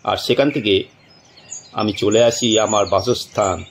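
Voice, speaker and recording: A man narrating a suspense story from a script in a dramatic, deliberate way, with long pauses. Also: fairly low pitch, fairly even intonation, slight background noise.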